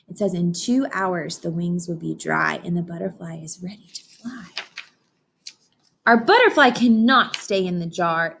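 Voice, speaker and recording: A woman reading a children's book aloud like a teacher, expressive and instructive. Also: mid-range voice, slight background noise. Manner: expressive, instructive, with dramatic pauses and hushed asides. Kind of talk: children's read-aloud with explanations and questions to listeners.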